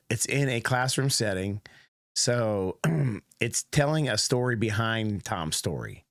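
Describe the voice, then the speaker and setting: A man's voice: lowish voice, dry recording, studio quality. A middle-aged American man giving casual, rambling commentary as host of a reaction video, with many fillers and restarts. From United States.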